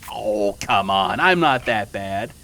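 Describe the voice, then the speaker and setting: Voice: medium pitch, animated, slow, vocal fry, fairly bright tone, full character voice. An actor in a fiction podcast playing a taunting, playfully sinister best friend, teasing and mock-wounded in a theatrical way.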